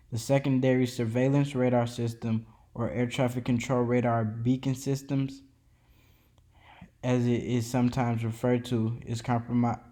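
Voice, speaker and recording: A man narrates an educational presentation in a level, mellow voice that barely rises or falls. He reads steadily from a script and now and then trips over a word and starts it again. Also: medium pitch. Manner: reads steadily from a script, with small slips and restarts. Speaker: student presenter. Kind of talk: read-aloud educational narration.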